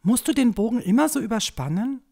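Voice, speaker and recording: A woman playing a caring but scolding village mother in a fantasy audio drama, expressive and reproachful. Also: a little high, fairly quick, gravelly. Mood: scolding, indignant.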